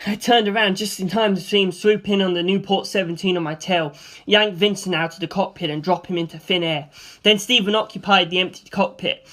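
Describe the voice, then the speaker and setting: Give this a male amateur reader working through an action novel in the first person. He tells the story in an animated way, as the hero recounting his own fight. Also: sits high.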